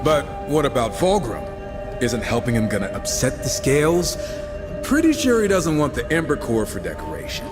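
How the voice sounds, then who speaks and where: A man's voice: medium pitch, sing-song, moderate pace, music in the background, lightly acted. An actor playing an irreverent, laid-back gunslinger horseman in a video game, joking and sarcastic as he teases. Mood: playful. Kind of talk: video game dramatic acting.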